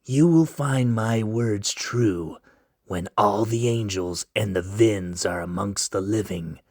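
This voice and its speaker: A man voicing a mysterious winged stranger who speaks low, hushed and slow in a storybook reading. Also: no echo, studio quality. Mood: ominous. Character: mysterious winged male stranger, calm and ominous.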